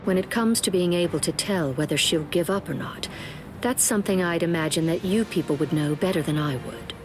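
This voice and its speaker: Casting: female actor as composed healer captain, anime game, low register, formal and quietly detached. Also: a little fast, close to an everyday voice. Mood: serene, detached. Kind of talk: anime game cutscene acting. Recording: loud music behind.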